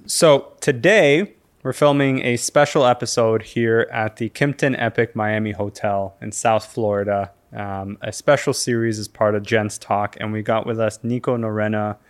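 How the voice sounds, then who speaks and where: A man's voice: lowish voice, fairly even intonation, normal speed, few pauses. A man hosting an interview show, friendly and casual, prompting his guest with short questions. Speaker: podcast host.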